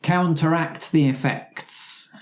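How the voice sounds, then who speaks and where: A man's voice: on the high side, fairly even intonation, slow. A man taking part in casual improvised roleplay as a player at a tabletop game.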